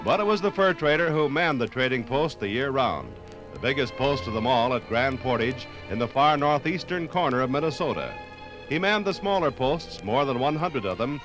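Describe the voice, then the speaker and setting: A man's voice: mid-range voice, poor audio. A man with an American accent reading scripted narration for a 1950s radio documentary.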